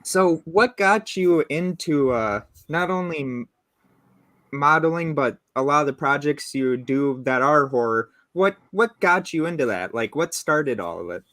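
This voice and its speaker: A man in his twenties hosting a casual interview, asking loose, relaxed questions. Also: fairly high pitch, measured pace, no room sound. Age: 20s. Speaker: host.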